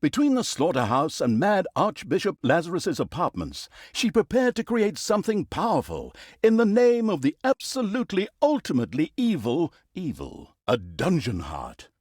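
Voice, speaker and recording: An actor playing a wry, mischievous storyteller in a fantasy game, narrating in a theatrical, mock-dramatic, sardonic manner. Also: male voice, a little high, wildly swooping pitch, moderate pace, hardly any pauses, a little mellow, husky, dry recording, clean studio sound, heavily acted. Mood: mock-grand. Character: wry, mischievous male storyteller mocking an evil fantasy saga.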